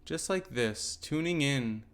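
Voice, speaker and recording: A man leading a guided mindfulness meditation as a teacher, giving gentle, unhurried instructions with pauses. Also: medium pitch, expressive, clean tone.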